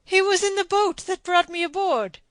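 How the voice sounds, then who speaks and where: Lady doing a panicky spanish pirate don, shrill and loud, voice swooping high, dry sound. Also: normal speed, dry recording. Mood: anguished. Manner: alarmed, cries out, high and loud. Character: agitated captured Spanish pirate nobleman, middle-aged. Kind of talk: audiobook character voice.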